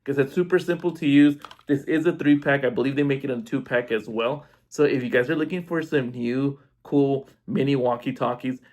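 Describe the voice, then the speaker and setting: A man's voice: mid-range voice, normal speed, few pauses, a little mellow. A man talking informally through a casual unboxing review, demonstrating step by step. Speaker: product reviewer. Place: indoors at home.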